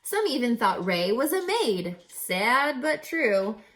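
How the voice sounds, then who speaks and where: Woman reading aloud with lively, swooping intonation. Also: fairly high pitch. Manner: dramatic, emphatic, pauses for rhetorical questions to listeners. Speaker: reading teacher reading a picture book aloud. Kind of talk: expressive children's read-aloud with teacherly asides.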